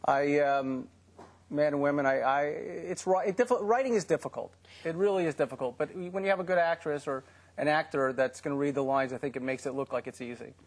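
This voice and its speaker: A man answering interview questions eagerly, tumbling quickly through his words with frequent restarts. His voice sits a bit high for a man and has a husky, breathy edge. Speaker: executive producer and writer.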